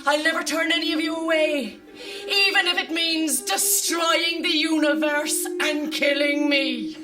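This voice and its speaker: A woman's voice: high voice, steady tone, unhurried, distant mic, over music. A woman playing a self-pitying, melodramatic older Irish mother in a live sci-fi radio comedy. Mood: martyred, pleading. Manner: melodramatic, long-suffering, fussing.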